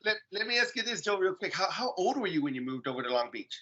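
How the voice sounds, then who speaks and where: A man's voice: high voice, sing-song, fast talker, lo-fi sound, heavy background noise. A man in his late forties co-hosting a podcast, joking and teasing in casual chat. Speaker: podcast co-host.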